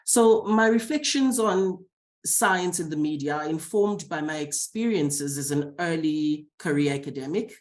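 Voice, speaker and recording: A man with a cold, a scientist, giving a measured, formal talk on a panel over a video call. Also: a little high, lively intonation. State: has a cold. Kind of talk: prepared talk.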